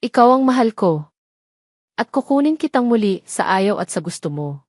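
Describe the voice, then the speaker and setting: A woman's voice: medium pitch, sing-song, normal speed, dry recording, studio quality. A woman voicing an obsessive, threatening male ex-lover in an audio love drama. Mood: obsessive, menacing. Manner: threatening, possessive.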